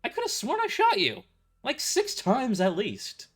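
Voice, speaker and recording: A man's voice: extremely high pitch, huge pitch swings, unhurried, breathy edge, pitched above the performer's own voice, heavily acted. A man voicing a tough, sardonic mercenary woman who is casual about violence, in an amateur audio drama. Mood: incredulous, casual. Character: tough, sardonic young tomboy mercenary woman working as waitress.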